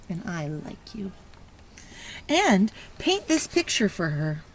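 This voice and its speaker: A woman teacher reading a picture book aloud to young children, stopping to ask questions and encourage them in an expressive way. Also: mid-range voice, rough recording. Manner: encouraging, praising child listeners; expressive, exclaiming and prompting. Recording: clear background noise.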